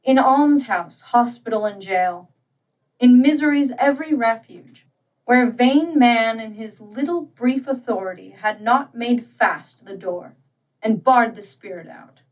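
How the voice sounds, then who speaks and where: A woman narrating a literary story as an audiobook, with measured, deliberate and dramatic phrasing. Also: fairly high pitch, recorded from a distance, rough recording.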